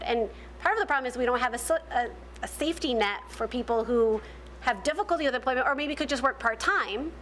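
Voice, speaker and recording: Woman panelist, fairly high voice, explanatory and halting, faint room hum behind. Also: fairly bright tone. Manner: explanatory, many restarts and fillers. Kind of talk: panel discussion answer.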